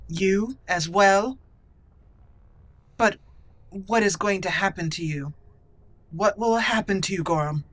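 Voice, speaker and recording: A woman's voice: medium pitch, slow, pauses often, fairly bright tone. A woman voicing a proud, wronged elven noblewoman in a game roleplay, dramatic and formal in delivery. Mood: shocked, bitter. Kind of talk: roleplay acting in a game let's play.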